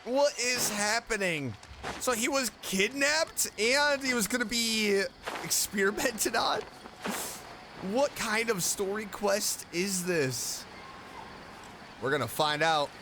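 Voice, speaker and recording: A man's voice: high voice, expressive, takes it slowly, background noise. A man giving casual commentary as he reacts to a video game he is playing. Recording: game music behind the voice.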